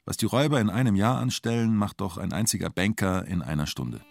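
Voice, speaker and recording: Sober radio play narrator and interrogator, really low voice, measured, faint music behind. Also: male voice, gravelly, dry recording, studio quality. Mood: sober, reflective. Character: sober male narrator and formal police interrogator. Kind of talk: scripted radio drama narration.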